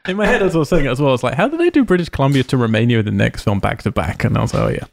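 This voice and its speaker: A man's voice: mid-range voice, sing-song, racing, vocal fry. A man hosting a casual film podcast, chattily reading out information and adding informed asides. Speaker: podcast host.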